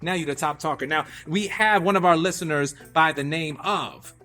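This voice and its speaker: A man's voice: on the high side, moderate pace, bright, forceful tone, soft background music. A man hosting a live radio show with playful, slangy, repetitive banter.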